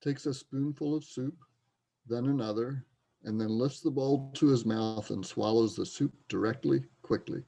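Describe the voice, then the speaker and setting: A man's voice: mid-range voice, normal speed, vocal fry, mellow. A man giving scripted narration, reading out stage directions for a play.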